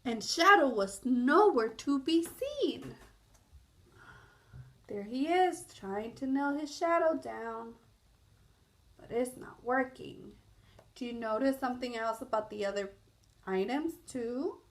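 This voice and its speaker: A woman's voice: sits high, animated, takes it slowly, long pauses, a bit bright. A woman reading a picture book aloud to young children, stopping to explain and ask questions. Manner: explanatory, asks listeners questions. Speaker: children's storybook reader.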